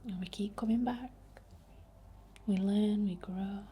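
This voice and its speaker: Northern english woman doing whispered asmr, breathy n gentle, pretty even, slight background hiss. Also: medium pitch. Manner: soft, unhurried, reassuring. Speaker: ASMR creator and witch.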